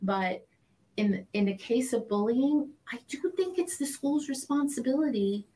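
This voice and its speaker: Excitable woman in her fifties hosting. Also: a little high, measured pace. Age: 50s. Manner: enthusiastic, emphatic, rambling on tangents. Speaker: podcast host. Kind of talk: casual conversational interview.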